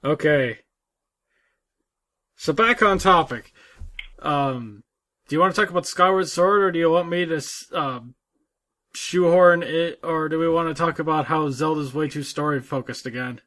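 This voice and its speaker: Higher-pitched American man in halting banter. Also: sharp tone. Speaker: podcast co-host. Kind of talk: casual banter.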